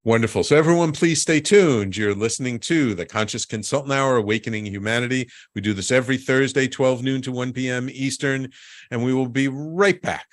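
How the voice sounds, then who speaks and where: A man's voice: medium pitch, fairly quick, no room sound, studio quality. A man hosting a talk radio interview, friendly and apologetic, often repeating words as he speaks. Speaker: radio show host.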